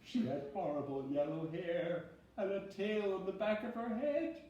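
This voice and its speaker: Storybook little monster boy, played by a man: pitched high, wobbly, tearful and hesitant, in a very echoey room. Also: moderate pace, dark tone, highs cut off, lightly acted. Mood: frightened. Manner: hesitant, stammering, sobbing. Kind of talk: storybook read-aloud character voice. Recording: very echoey room, distant mic, faint noise.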